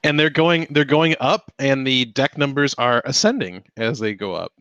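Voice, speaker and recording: A man's voice: medium pitch, normal speed, a bit bright, no room sound. Nerdy man explaining on a muffled call. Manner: nerdy, explains details, points out continuity errors. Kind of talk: casual group chat over a voice call. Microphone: home voice-call mic. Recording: muffled voice-chat audio.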